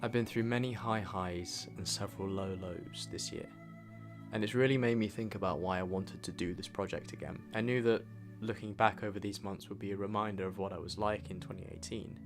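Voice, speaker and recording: A young man with a British accent narrates in a fairly low voice over prominent music. The delivery is a scripted, reflective voice-over, like a personal video diary. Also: steady tone, brisk. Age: young adult. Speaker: video creator narrating own year review.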